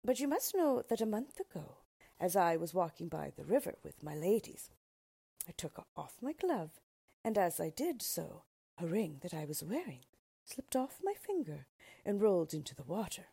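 A woman's voice: on the low side, sing-song, moderate pace, gravelly, dark tone, slightly rough, dry recording, exaggerated voice. A woman voicing a proud, stubborn young princess who gives haughty commands.

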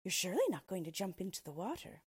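A woman's voice: lowish voice, sing-song, fast, husky, no room sound. A woman voicing a kind, helpful young girl in a fairy tale, earnest and questioning. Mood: eager, concerned.

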